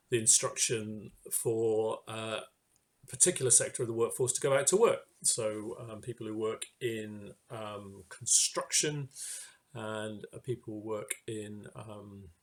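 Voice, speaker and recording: A man giving an informal sermon reflection in a hesitant, halting way, pausing mid-phrase. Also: on the low side. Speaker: church speaker giving a reflection.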